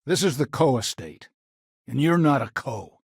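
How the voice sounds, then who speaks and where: Gravelly, gruff old family patriarch. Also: male voice, mid-range voice, animated, normal speed, no room sound, studio quality. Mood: gruff, dismissive. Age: older. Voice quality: gravelly, husky. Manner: gruff, curt, folksy drawling phrases. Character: stern, proud, grizzled old grandfather of a frontier family. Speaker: video game character voice. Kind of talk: dramatic video game dialogue acting.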